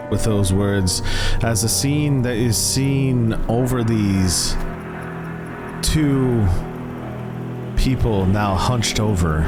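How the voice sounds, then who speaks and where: A man running a tabletop game as game master, narrating in a deliberate, dramatic way. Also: fairly low pitch. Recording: loud music behind the voice. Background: loud music.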